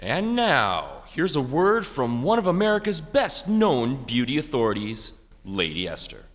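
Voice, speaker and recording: A man's voice: medium pitch, huge pitch swings, unhurried, faint music behind. A man announcing in a formal, showman-like old-time radio style. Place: theatre stage before an audience. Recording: echoey hall, audience and background noise.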